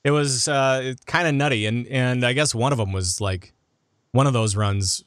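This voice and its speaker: A man's voice: medium pitch, moderate pace, a bit bright, no echo, clean studio sound. A man hosting a gaming podcast in casual, conversational chat, steering the discussion with questions to the others. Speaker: podcast host.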